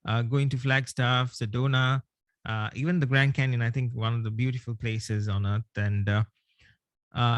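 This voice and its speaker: A man's voice: medium pitch, no room sound. A man from Sri Lanka, giving an online presentation over a video call with many hesitations. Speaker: guest speaker.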